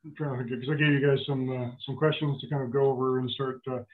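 A man's voice: mid-range voice, level tone, fast. A middle-aged man hosting an interview over a video call, steering the conversation hesitantly with many fillers. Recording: tinny video-call line.

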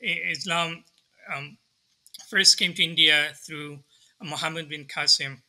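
Man in his 30s, from Bangladesh, bright voice, slightly high, hesitant explanations, webcam video call. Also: fairly even intonation, unhurried. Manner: thoughtful, many restarts and fillers. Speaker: guest scholar. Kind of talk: explanatory interview answer. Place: home study with bookshelves.

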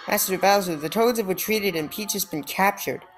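A woman's voice: mid-range voice, normal speed, faint music behind, close to an everyday voice. A woman voicing a scheming male wizard henchman, reading the game's dialogue plainly, like a report. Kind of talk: reading video game dialogue aloud.

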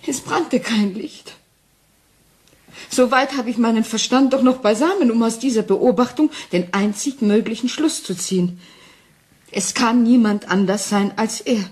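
Actress as anxious, haunted wife in radio drama. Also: female voice, fairly high pitch, a little mellow, lightly acted. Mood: fearful, urgent. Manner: anxious, halting, intense, dropping into whispers. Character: frightened, haunted wife doubting her own sanity. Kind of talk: German crime radio play acting.

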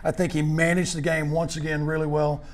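An older man interviewing high school football players in a clubhouse after a game, upbeat and full of praise. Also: level tone, fairly quick. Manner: upbeat, praising, rambling questions. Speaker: postgame interviewer. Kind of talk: postgame sports interview.

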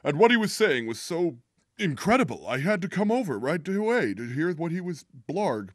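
A man voicing an excitable, loud detective in an amateur video game fan dub. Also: on the high side, normal speed, dry recording, clean studio sound. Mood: excited.

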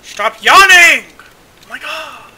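Slow, very bright-voiced gamer. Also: sits high. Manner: teasing, bossy, shouts on excitement. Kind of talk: casual gaming chat.

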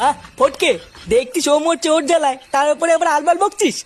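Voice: high-pitched, brisk, lightly acted. A performer voicing a brash, slangy young male village buddy in a comic cartoon, with exaggerated, crude delivery. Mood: concerned, brash.

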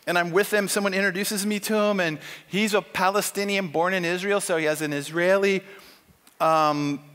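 Man, middle-aged, emphatic preacher, high voice, church stage, long reverb. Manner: animated, emphatic, acts out quotes. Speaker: conference speaker. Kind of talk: sermon with storytelling.

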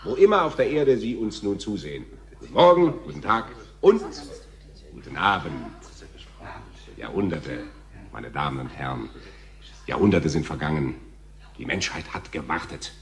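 An actor playing a showy, pompous TV talk show host in a theatrical radio drama style. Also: male voice, extremely low pitch, very animated, heavily acted. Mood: grandiose. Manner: theatrical, grandiose, dramatic pauses.

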